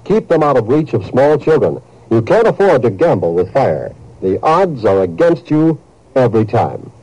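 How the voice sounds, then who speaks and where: Animated, mellow-voiced male radio announcer. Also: mid-range voice, breathy edge, dry recording, muffled recording. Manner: grave, emphatic, measured. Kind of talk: scripted 1950s public service announcement.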